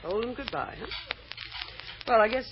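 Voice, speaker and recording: A woman's voice: fairly high pitch, expressive, moderate pace, natural voice. An actress playing a practical, wry and supportive woman friend with a matter-of-fact manner in an old radio drama. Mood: sympathetic, practical. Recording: dull, noisy old radio recording.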